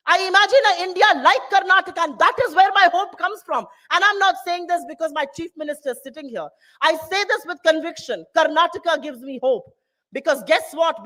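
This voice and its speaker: A woman with a high voice, a native Hindi speaker, addresses a town hall audience. She builds her political speech through rousing, repeated lines. Her delivery is passionate. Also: sharp tone. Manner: rousing, repetitive rhetoric.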